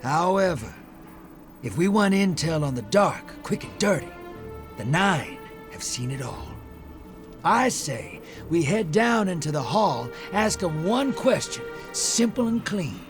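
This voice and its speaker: Sly folksy game rogue, high-pitched for a man, swinging intonation, drawling, wheedling, faint music behind. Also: big pitch swings, measured pace. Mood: persuasive. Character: sly, folksy rogue gambler and scavenger, male. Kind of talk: video game character acting.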